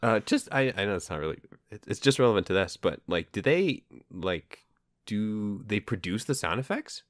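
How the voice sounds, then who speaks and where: A man with a low voice hosts a podcast in a loose, conversational way. His pitch moves around a lot, and he tends to repeat short phrases informally. The sound is close and dry, with very little room in it. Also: very animated, moderate pace, no room sound. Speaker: podcast host. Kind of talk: casual chat.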